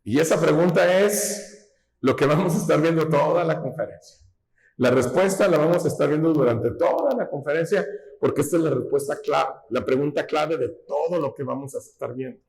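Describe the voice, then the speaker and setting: A man's voice: fairly high pitch, moderate pace, a little mellow. A man preaching a sermon in a folksy teaching tone, often asking his listeners whether they follow him. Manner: folksy, asks rhetorical questions, teaching tone. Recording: echoey hall.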